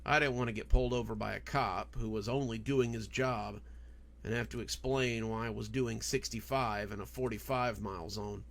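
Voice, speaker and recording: A man's voice: on the low side, steady tone, normal speed, vocal fry. A man reading a first-person horror story as a scripted audiobook narration.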